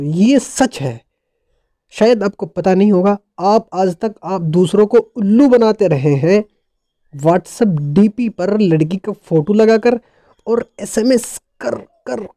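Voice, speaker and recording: A man's voice: sits high, expressive, slow, mellow, no room sound, clean studio sound. A man narrating a casual how-to tech tutorial in a playful, jokey, colloquial way.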